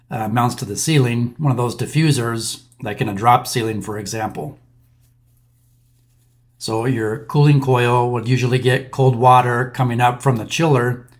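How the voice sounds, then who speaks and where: Man explaining HVAC basics, steady and methodical. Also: mid-range voice. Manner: plain, methodical walkthrough with occasional fillers. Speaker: maintenance instructor. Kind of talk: explainer voice-over over slides.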